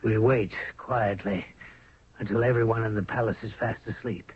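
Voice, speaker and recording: An actor playing a cold-blooded, scheming royal courtier in a 1970s radio drama, persuasive and deliberate. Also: male voice, fairly low pitch, fairly even intonation, breathy edge, no echo. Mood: cold plotting. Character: scheming, cold-blooded male courtier plotting murder of the pharaoh. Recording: narrow, tinny 1970s radio recording.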